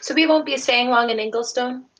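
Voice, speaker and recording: A woman's voice: on the high side, a little fast, a bit bright. A woman playing a tabletop role-playing game, chatting casually in character over a video call. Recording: noisy video call with clicks.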